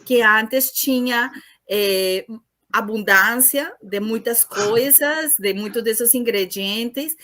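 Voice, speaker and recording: A woman's voice: on the high side, pushed and bright. A woman with a Latin American Spanish accent, a native Spanish speaker hosting a class and searching a little for words as she speaks spontaneously. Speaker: course host introducing the lecturer.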